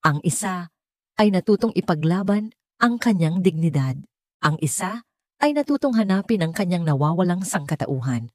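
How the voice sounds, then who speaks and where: Lower-pitched Filipino woman narrating, mellow and dry. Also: expressive, normal speed, no room sound. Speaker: story narrator. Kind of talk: scripted dramatic narration of a love story.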